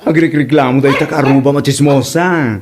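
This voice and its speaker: A man's voice: medium pitch, fairly even intonation, moderate pace, noisy background, close to an everyday voice. A man with an Ilocano accent acting a son who pesters his mother in an amateur comedy drama. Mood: nagging, animated. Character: adult son pestering his mother about their barking dog.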